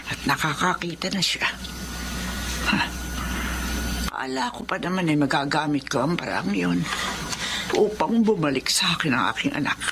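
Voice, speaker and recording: A woman's voice: low-pitched, background noise. A woman playing a bitter, scheming older mother in a radio drama, talking to herself in a sneering, self-pitying way. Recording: loud music bed behind.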